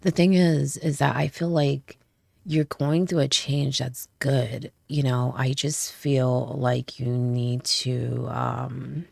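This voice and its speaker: A woman's voice: very deep voice, level tone, takes it slowly, gravelly, darkish tone, no echo. A woman doing a casual tarot reading, a little stuffy from a cold, chatty and often checking in with "okay?" and "right?". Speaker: tarot reader.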